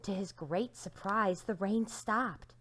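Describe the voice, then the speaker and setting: A woman with a medium-pitched voice reads a children's story aloud like a teacher. Her delivery is expressive, leaning into key words and letting the ends of phrases drop to a hush. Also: slow. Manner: expressive, dramatic emphasis with hushed line endings.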